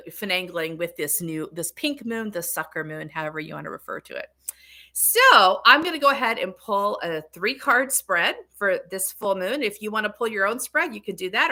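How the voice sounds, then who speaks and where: A woman's voice: mid-range voice, pushed and bright. A woman hosting a casual livestream as a tarot reader, chatting to viewers in a rambling, self-interrupting way.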